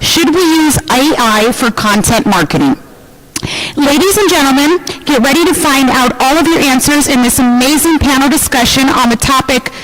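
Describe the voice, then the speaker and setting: A woman's voice: high-pitched, a bit bright, low-quality recording. A woman emceeing a conference, introducing the panel in an upbeat announcer style. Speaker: event emcee. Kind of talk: scripted stage announcement.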